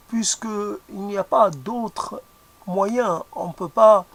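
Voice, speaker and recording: A speaker whose first language is not French, musing aloud in a hesitant way, often pausing and restarting to search for the right word. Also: medium pitch, gravelly. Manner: hesitant, many fillers and restarts, searching for words. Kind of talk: reflective spoken musing.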